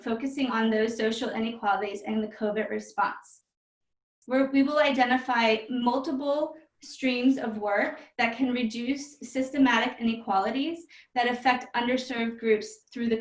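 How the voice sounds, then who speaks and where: A woman giving a formal, prepared webinar presentation over an online call from an echoey room. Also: fairly high pitch.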